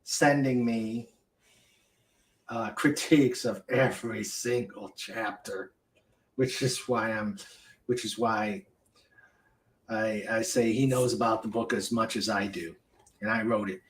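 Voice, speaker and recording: A man hosting a casual livestream chat, speaking haltingly with many fillers. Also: fairly low pitch, fairly even intonation. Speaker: host.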